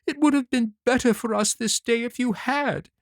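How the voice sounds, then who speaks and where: A man's voice: extremely high pitch, moderate pace, dry recording, studio quality, voice pushed up. A man voicing a despairing, scolding old peasant mother in a storybook reading, sobbing and lamenting. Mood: tearful reproach.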